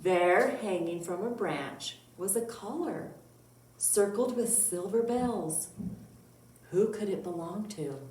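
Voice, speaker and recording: A woman reading a picture book aloud to young children as a storytime librarian, with drawn-out words and dramatic emphasis. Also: medium pitch, darkish tone. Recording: roomy echo, distant mic, faint noise.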